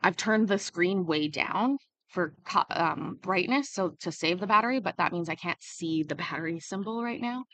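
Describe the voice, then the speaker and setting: A woman's voice: on the low side, lively intonation, normal speed, fairly bright tone, no echo. A young woman hosting a casual livestream, chatting freely and answering viewers' comments as they come in. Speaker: livestream host.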